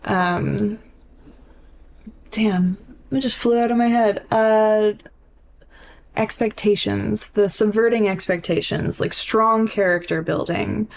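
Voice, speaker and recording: A woman's voice: medium pitch, steady tone, takes it slowly, gravelly, pauses often. A young woman co-hosting a casual podcast, informal and reflective, working out her thoughts as she talks with frequent "like". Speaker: podcast co-host.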